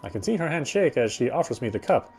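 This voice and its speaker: A man reading visual novel narration aloud in a dramatic, reflective way with deliberate phrasing. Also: mid-range voice, very animated, darkish tone, faint music behind. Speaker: game streamer reading aloud.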